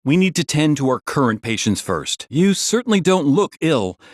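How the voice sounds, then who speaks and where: Busy clinic attendant, lively intonation. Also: male voice, a little high, normal speed, no echo, studio quality. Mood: polite, harried. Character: busy, courteous male clinic attendant in a game.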